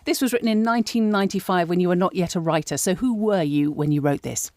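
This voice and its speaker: A woman's voice: fairly low pitch, quick pace, a little mellow, no echo, clean studio sound. A woman hosting a podcast, moving between scripted introductions and conversational interview questions.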